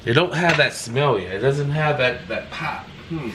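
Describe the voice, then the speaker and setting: A man's voice: medium pitch, animated, moderate pace, noisy background. A man casually talking viewers through his home cooking. He rambles a little, repeats himself, and keeps asking the audience questions. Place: home kitchen. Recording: music playing behind voice.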